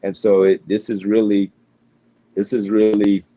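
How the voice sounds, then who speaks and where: An American man, a pastor, talking in a relaxed interview with frequent fillers and restarts. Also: fairly low pitch, slight background noise, rough recording. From United States. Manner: halting, many restarts and fillers. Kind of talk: casual interview conversation.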